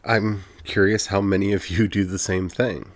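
A podcast host with a very deep, low-pitched voice talks alone into the mic in an earnest, heartfelt way. The delivery is reflective and personal, dotted with soft "you know" fillers. The sound is close and dry, with no room behind it. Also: little pitch movement, moderate pace, no echo. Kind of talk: reflective solo podcast monologue.

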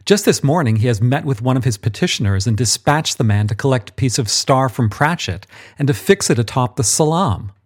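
A man's voice: medium pitch, expressive, a little fast, hardly any pauses, voice pushed up, natural voice. A man voicing a pompous, imperious archangel boss. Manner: condescending, impatient, self-important. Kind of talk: audiobook character voice.

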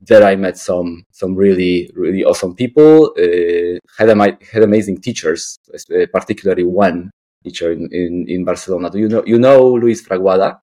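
A man's voice: low voice, normal speed, dark tone, low-quality recording. A man in his late thirties with a Polish accent, answering casually as an interview guest, hesitant and often repeating words. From Poland. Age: late 30s. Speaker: guest, artist and architect.

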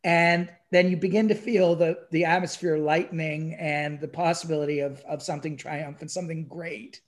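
A man in a casual, enthusiastic conversation about film, halting and repeating words and phrases for emphasis. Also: on the high side, fairly even intonation. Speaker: podcast guest or co-host discussing a film.

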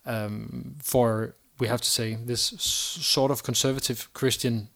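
A man with an average-pitched voice talks in a casual podcast discussion. He is a co-host who speaks haltingly, often doubling back on words while he works out his thought. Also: fairly even intonation, creaky, fairly bright tone.

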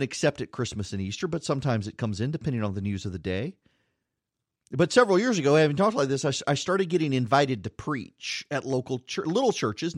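A man's voice: mid-range voice, no room sound. Man, radio host, personal faith talk, self-deprecating, stumbles and repeats, dead-dry studio sound. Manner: self-deprecating, repeats words while speaking.

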